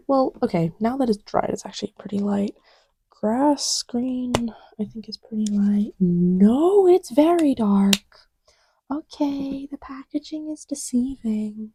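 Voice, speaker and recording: A woman speaking softly and often half-whispering, murmuring to herself in a casual, ASMR-style ramble. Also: on the high side, husky, no room sound. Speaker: audio creator.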